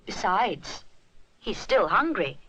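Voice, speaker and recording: Animated, bright-voiced woman telling a children's story. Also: mid-range voice, slow, husky, dry recording, poor audio. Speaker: narrator. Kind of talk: expressive scripted children's story narration.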